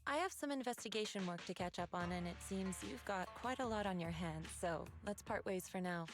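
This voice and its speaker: An actress playing a calm, self-assured young female martial master in a video game, with composed, aloof phrasing. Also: medium pitch, a little fast, music in the background, lightly acted. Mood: composed, businesslike.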